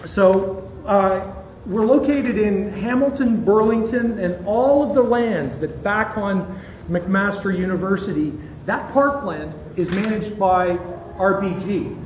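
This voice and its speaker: A man giving an informal presentation to a group as a guest speaker, with halting, hesitant phrasing. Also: high-pitched. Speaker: guest speaker, marketing director of botanical gardens. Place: indoor event venue at botanical gardens. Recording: distant mic in echoey room, background noise.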